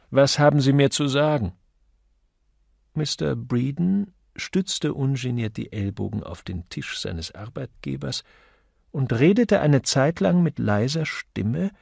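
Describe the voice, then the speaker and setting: A man's voice: mid-range voice, creaky, a little mellow, breathy edge, dry recording, studio quality. A man reading scripted audiobook narration.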